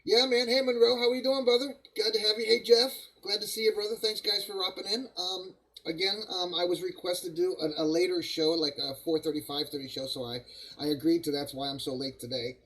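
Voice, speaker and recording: A man hosting a casual live stream from his hobby bench, his voice froggy and rough from a cold. He talks in a slightly higher register for a man. He rambles easily and greets viewers by name as he works. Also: flat delivery, a little fast, fairly bright tone, a little hiss or hum, poor audio. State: hoarse from a cold, coughing. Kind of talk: casual live-stream chat while model building.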